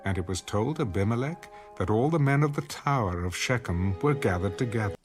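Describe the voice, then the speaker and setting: Male narrator, low register, solemn measured scripture reading with music underneath. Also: lively intonation. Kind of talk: dramatized audio Bible narration. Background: background music.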